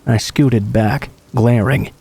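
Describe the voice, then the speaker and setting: Dark-voiced man narrating horror stories. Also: medium pitch, fairly even intonation, slow pace, gravelly, slightly rough. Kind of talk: scripted narration.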